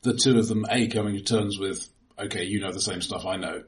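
Male film podcast co-host, lowish voice, informal and halting as he explains, doubling back on words. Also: steady tone. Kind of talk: casual film discussion.

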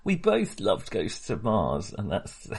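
A man's voice: on the low side, wildly swooping pitch, slow pace, a little hiss or hum. A man co-hosting a film podcast in casual discussion, talking hesitantly, often interrupting himself and rambling.

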